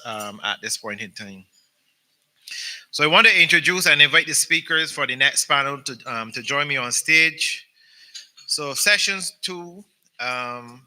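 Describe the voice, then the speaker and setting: A man's voice: medium pitch, pushed and bright, low-quality recording. A man moderating a business forum panel, introducing speakers with many ums and repeated words. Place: conference hall.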